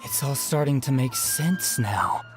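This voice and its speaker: A woman's voice: rumbling low, expressive, unhurried, over music. An actress playing a gullible, hesitant young woman customer in an anime game. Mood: hesitant, convinced. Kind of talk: scripted anime game voice acting.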